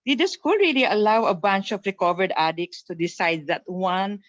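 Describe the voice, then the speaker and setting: A woman's voice: mid-range voice, moderate pace, dry recording, lightly acted. A woman with a Filipino accent playing a chatty alumna in an online table read. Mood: nostalgic, curious. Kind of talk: table read of a reunion script over video call. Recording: muffled video-call audio.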